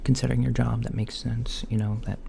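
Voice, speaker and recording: A soft-spoken man playing a mild, curious alien doctor in an ASMR roleplay, hesitant and politely clinical, often dropping toward a whisper. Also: medium pitch, level tone, fairly quick, gravelly. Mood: mildly curious. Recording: lo-fi with faint background noise.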